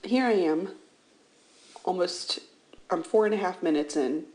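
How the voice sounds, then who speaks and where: Low-pitched, slightly scratchy, chatty woman. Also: measured pace, a little mellow. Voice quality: slightly scratchy early-morning voice. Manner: rambling, self-deprecating. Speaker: art channel host. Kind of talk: casual chatty voice-over commentary on a drawing. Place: indoors, seated at a computer.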